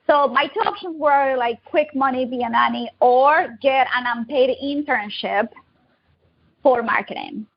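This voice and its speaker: A woman in her thirties with a Colombian accent, speaking in a fairly high voice. She chats quickly and warmly about her own story as a podcast guest. The recording sounds dull and lo-fi. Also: husky. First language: Spanish. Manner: upbeat, quick and chatty, laughs easily. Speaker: guest, digital marketing agency owner. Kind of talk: casual interview storytelling.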